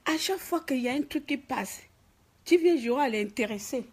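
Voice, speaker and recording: A woman's voice: sits high, animated, normal speed, darkish tone, breathy edge. A woman from Gabon with a Gabonese French accent, scolding and mocking in an emphatic political rant. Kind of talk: ranting political commentary to camera. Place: office.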